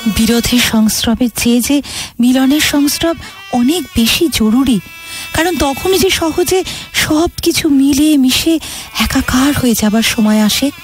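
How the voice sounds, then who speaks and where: Actress as wounded mother-nation spirit, high voice, declamatory, loving and grieving, poetic audio drama, slow phrasing. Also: lively intonation, faint music behind. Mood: solemn, tender. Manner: emotive, drawn-out, declamatory delivery.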